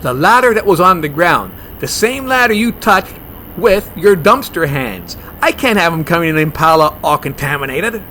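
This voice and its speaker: An actor playing a fussy, germophobic monster hunter, blunt and bossy, in a comedy horror audio drama. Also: male voice, sits high, normal speed, a little hiss or hum, exaggerated voice. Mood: dismissive, deadpan. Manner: deadpan, argumentative.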